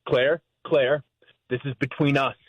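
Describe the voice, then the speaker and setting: A man talking in a phone conversation in a halting way, often repeating his words. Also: medium pitch, steady tone, lo-fi sound. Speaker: prank call target.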